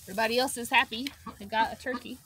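A middle-aged woman reads a children's picture book aloud in a high-set voice. Her delivery is expressive and bright, and she turns to young listeners with simple questions. She is recorded outdoors, with some background noise from poultry. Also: racing. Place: outdoors beside a wire poultry pen. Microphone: camera a step or two away. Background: chickens and outdoor ambience.

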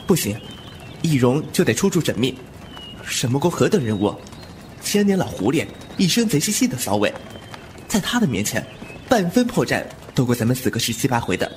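A man's voice: medium pitch, very animated, brisk, a little hiss or hum, natural voice. A man playing a cheeky young martial-arts hero in a wuxia audio drama, playful, mouthy and mock-grumbling.

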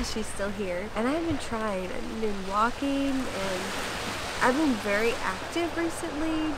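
A young woman with a stuffy, congested voice from a cold, chatting casually to a camera outdoors by the sea. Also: a little high. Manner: chatty, laughs softly between thoughts. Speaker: vlogger. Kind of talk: casual pregnancy update to camera. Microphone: camera on a tripod a few feet away. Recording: loud wind and waves outdoors.

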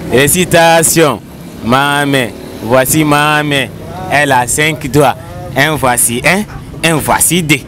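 A man's voice: a little high, slow pace. Young man reciting jokingly on the street. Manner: joking, mixes in local words. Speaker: interviewee. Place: outdoors on a town street. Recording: outdoor street noise.

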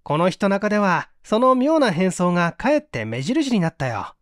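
Guy reading as a cocky sleuth in a mystery audiobook, high lively voice, gloating as he explains. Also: moderate pace, dry recording, clean studio sound. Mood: smug, explanatory. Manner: taunting, self-satisfied, explanatory. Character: smug, teasing male amateur detective, boastfully explaining his tricks.

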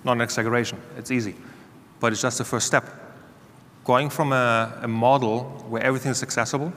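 A man's voice: medium pitch, fairly even intonation, brisk, fairly bright tone, a little hiss or hum. A man speaking as a panelist on a conference stage, candid and conversational, on a lapel mic. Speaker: panelist, security leader. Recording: reverberant hall, faint ambience.

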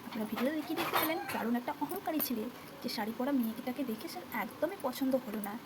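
A woman's voice: high-pitched, animated, quick pace, background noise. A woman narrating a puppet-show story in a storytelling style on an amateur recording.

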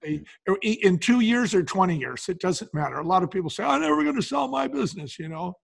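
A man's voice: high voice, sing-song, quick pace, creaky, breathy edge. An older man talking as an interview guest, explaining things and telling stories in a conversational way. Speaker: podcast guest, business founder.

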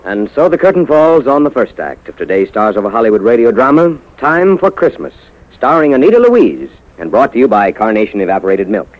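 A man's voice: mid-range voice, big pitch swings, a little fast. An American man announcing in polished, emphatic scripted style on 1950s radio. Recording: dull vintage 1950s radio recording. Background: music.

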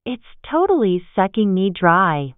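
A woman reading English example sentences clearly for learners. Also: mid-range voice, sing-song, unhurried, dry recording. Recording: tinny, phone-like band.

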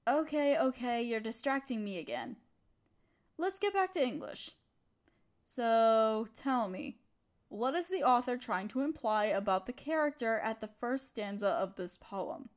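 High-voiced, unhurried young student crush acted by a woman. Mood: studious, wistful. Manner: teasing, conversational, affectionate. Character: young female student, teasing but encouraging study-partner crush. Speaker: audio roleplay performer. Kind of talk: intimate scripted roleplay acting addressed to the listener.

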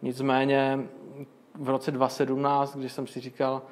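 A man's voice: mid-range voice, monotone. A young man answering interview questions in a casual way, explaining at length with frequent fillers and restarts. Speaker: guest, crypto magazine owner.

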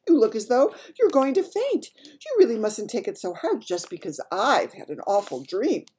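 A woman's voice: a little high, big pitch swings, moderate pace, dark tone, husky, voice pushed up, full character voice. A woman voicing a regal older Queen in a storybook, with a proper, grand and emphatic manner. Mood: sharp, concerned.

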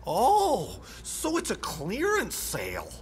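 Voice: mid-range voice, wildly swooping pitch, slow pace, husky, full character voice. An actor playing an earnest police lieutenant in a video game, deferential, hesitant and eager to please the boss. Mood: puzzled. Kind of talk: cinematic game dialogue.

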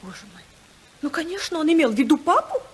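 An actress playing an anxious, naive younger sister from high society in a 1950s radio play. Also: female voice, very animated, quick pace. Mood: indignant, fretful. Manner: fretful, hesitant. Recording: 1955 radio drama recording.

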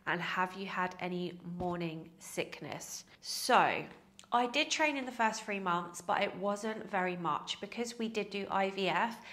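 A woman's voice: mid-range voice, a bit bright. A woman in her thirties with a nasal, cold-stuffed voice, chatting to camera in a casual Q&A, laughing at herself often. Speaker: fitness vlogger. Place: small indoor room with plants. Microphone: lapel mic.

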